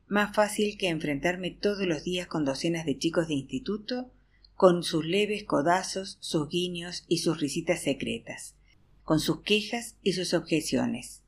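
A woman's voice: on the low side, slightly rough. A woman narrating an audiobook in the first person, acting out a weary teacher's chatter to a class. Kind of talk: expressive audiobook reading.

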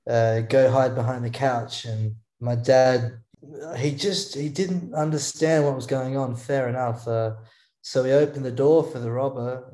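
A man's voice: mid-range voice, mellow. Australian man rambling in an echoey room. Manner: rambling, halting, restarts often. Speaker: podcast guest, songwriter. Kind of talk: casual interview storytelling.

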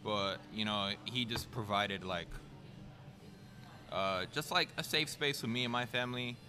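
A man's voice: fairly low pitch, a little slow, bright, forceful tone. A man chatting casually and playfully, laughing often and using slang. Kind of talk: casual chat.

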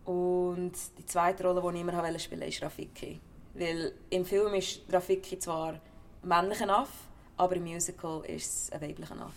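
A young woman with a Swiss German accent, an actress chatting casually as an interview guest, lively and laughing, sometimes dropping to a soft near-whisper. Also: on the low side, moderate pace. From Switzerland.

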